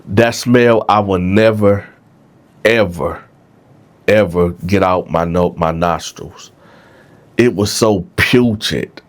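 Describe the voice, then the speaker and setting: A man's voice: low-pitched, slow pace, pauses often. A man with a congested, stuffed-up nose hosting his channel, telling a story casually to viewers and checking in with "right?". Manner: conversational, keeps asking "right?". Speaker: vlog host.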